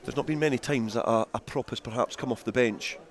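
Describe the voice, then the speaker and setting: Man, rugby play-by-play commentator, live radio style, mid-range pitch, outdoors at the ground. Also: fast.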